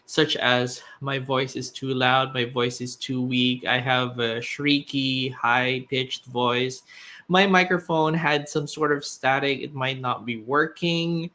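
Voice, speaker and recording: A man, a tutor, lays out his advice to a viewer on a live stream. His voice is bright and a little tense, at an ordinary male pitch. He explains step by step in a calm, measured way. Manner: measured explanatory, occasional restarts. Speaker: exam tutor. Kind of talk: live stream guest answering a question.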